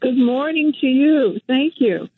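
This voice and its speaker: A woman's voice: sits high, expressive, a little slow, faint music behind. Older woman on a tinny phone line. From Western Canada. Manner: reflective storytelling with small laughs and fillers. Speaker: guest, activist and journalist. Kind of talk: radio interview over the phone.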